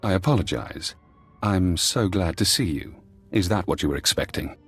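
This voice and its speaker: A man playing a paranoid, calculating former spy held prisoner, speaking deliberately and cryptically in a video game. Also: low-pitched, expressive, slightly rough, soft background music, heavily acted. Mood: sly, measured. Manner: deliberate, cryptic, coolly commanding. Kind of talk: scripted game dialogue.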